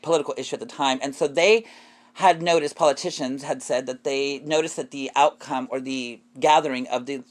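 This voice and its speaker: A man's voice: mid-range voice, fairly even intonation, brisk. A man in a casual, opinionated podcast discussion, telling things in a rambling way and correcting himself as he goes. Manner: rambling, self-correcting storytelling.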